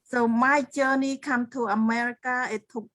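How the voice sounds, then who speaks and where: A woman's voice: a little high, steady tone, fairly bright tone, no echo. A middle-aged woman from Cambodia, telling her personal story on a video call in an earnest, simple way. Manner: slow, earnest, simple phrasing. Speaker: co-host and speaker.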